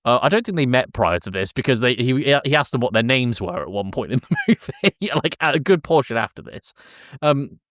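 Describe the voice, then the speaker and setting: A man co-hosting a podcast, casually recapping a film in a deadpan, exasperated comic manner. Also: mid-range voice, brisk, dry recording, studio quality. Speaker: podcast co-host.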